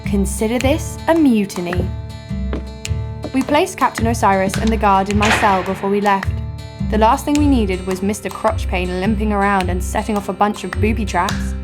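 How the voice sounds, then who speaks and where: A woman's voice: mid-range voice, normal speed, natural voice. An actress playing a bold, sarcastic young female adventurer who tells her own tale in an audio drama. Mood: wry storytelling. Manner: sarcastic, dry. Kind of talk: first-person audio drama narration. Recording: music under the voice. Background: instrumental music.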